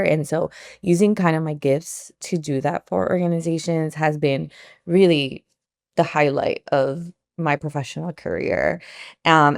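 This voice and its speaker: A low-voiced woman in her thirties with a gravelly edge, chatting as an interview guest. She talks in a loose, filler-heavy way and drops in the odd Spanish word. Close, dry sound with no room echo. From Colombia. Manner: chatty, warm-hearted, many fillers like "like" and "you know", mixes in Spanish words. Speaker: nonprofit consultant and founder, guest. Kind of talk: casual conversational interview.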